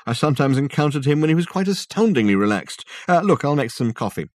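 A man's voice: fairly high pitch, very animated, fast, no echo, pitched above the performer's own voice. A man voicing a genial, vague, amused male professor in an audiobook, with a rambling donnish manner.

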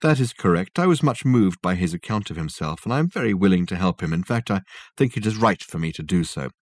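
A man's voice: deep voice, expressive, fast, dry recording, clean studio sound. A man voicing a lugubrious, compliant man in an audiobook, speaking with earnest formality. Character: lugubrious, compliant man willingly possessed by a spirit.

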